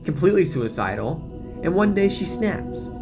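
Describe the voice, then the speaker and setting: A man's voice: on the high side, big pitch swings, moderate pace, over music, pitched above the performer's own voice. Man playing a snide, gossiping teenage mean girl. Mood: snide, cruel. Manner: snide, dismissive, sardonic.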